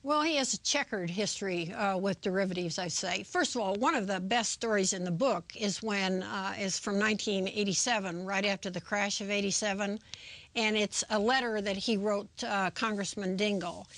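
A woman's voice: mid-range voice, normal speed, a bit bright, no echo. Woman, author guest, hesitant, thoughtful, dry sound. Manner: thoughtful, many fillers, searches for words. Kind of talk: conversational interview.

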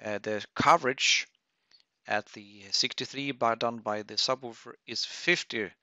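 Guy doing a webinar software walkthrough, slow n halting, dull dry audio. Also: medium pitch, no room sound. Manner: halting, thinking aloud, frequent throat clearing. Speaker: webinar presenter. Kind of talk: unscripted software tutorial.

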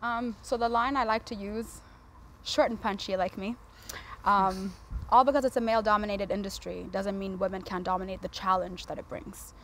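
A woman's voice: mid-range voice, steady tone, moderate pace, fairly bright tone, a little hiss or hum. A woman in her twenties chatting casually and playfully as a guest on a TV talk show, poking fun at herself. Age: 20s. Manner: playful, self-deprecating. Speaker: guest, air traffic controller. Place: TV studio with sofas.

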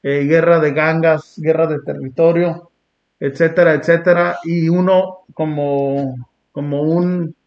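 A man from Long Beach, California, hosting a podcast and talking in an earnest, reflective way with blunt street slang. He sits on a small dark indoor studio set. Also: a little high, steady tone, measured pace. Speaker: podcast host. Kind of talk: casual reaction chat. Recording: muffled lo-fi sound.

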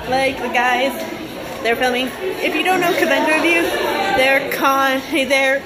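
A young woman chatting casually to her phone as she vlogs indoors, in a halting, rambling way that often repeats itself. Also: high voice, over a noisy crowd. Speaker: convention vlogger. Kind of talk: casual handheld vlog chat. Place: hotel corridors, elevator and lobby, indoors. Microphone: phone at arm's length. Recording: noisy handheld phone recording.

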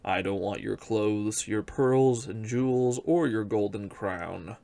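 A man voicing a frog in a fairy tale read aloud, dropping his voice low and drawing out his words. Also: slow, vocal fry, mellow. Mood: bargaining. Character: slow, coaxing male frog from a well.

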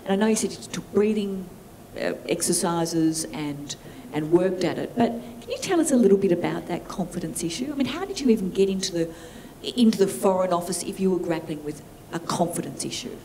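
A woman in her fifties moderating a conference Q&A with a handheld mic, chatty and warm, often restarting her sentences. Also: on the low side, expressive, fairly quick, few pauses, a little hiss or hum, poor audio. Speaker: event moderator. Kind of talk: moderating a panel Q&A. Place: large conference hall with audience.

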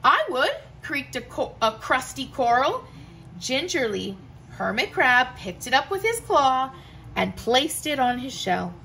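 A woman teacher reading a children's picture book aloud, slowly and gently, giving the quotes their own voices. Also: sits high, big pitch swings, vocal fry, a little hiss or hum. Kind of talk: children's storybook read-aloud. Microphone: camera above the book, hands seen turning pages.

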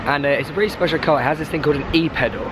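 A young man, hoarse from losing his voice, vlogging excitedly to a handheld camera outdoors at a busy event. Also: a little high, rapid-fire, background noise. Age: young adult. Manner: excitable, chatty, jokey. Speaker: football vlogger. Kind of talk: casual vlog to camera. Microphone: handheld camera at arm's length.

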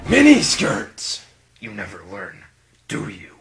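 A man playing a brash, hot-tempered, miniskirt-obsessed officer in a comedic anime parody dub, gravelly, swaggering and given to melodramatic, sweary exclamations. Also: lowish voice, wildly swooping pitch, slow pace, exaggerated voice. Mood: cocky. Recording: music behind the voice.

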